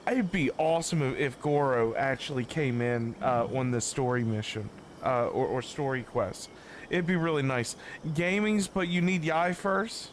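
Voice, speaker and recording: A man doing casual live stream commentary on a game, rambling and halting as he repeats his words. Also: medium pitch, slight background noise. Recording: game music behind the voice.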